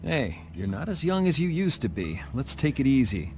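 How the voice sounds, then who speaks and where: A man's voice: mid-range voice, very animated, normal speed, lightly acted. An actor playing a cool, wry young swordsman with a casual, teasing way in a video game. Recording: music under the voice.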